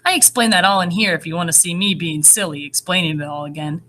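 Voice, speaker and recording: A woman speaking as a first-grade teacher, reading and explaining to young children in an animated, encouraging way. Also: fairly low pitch, fast talker. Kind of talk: read-aloud and lesson addressed to young children.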